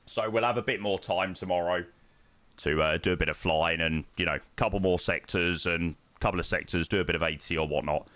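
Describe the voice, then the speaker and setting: A man with a hoarse, croaky voice, chatting casually to his stream audience and rambling with lots of ums. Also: sits low, brisk, a bit bright, no room sound. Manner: rambling, self-interrupting, frequent ums. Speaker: flight sim streamer.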